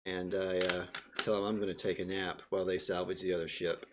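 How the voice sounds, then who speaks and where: A man speaking casually and a little haltingly as a player giving spoken commands in a game demo. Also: low-pitched, little pitch movement, noisy background. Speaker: player demonstrating a voice assistant.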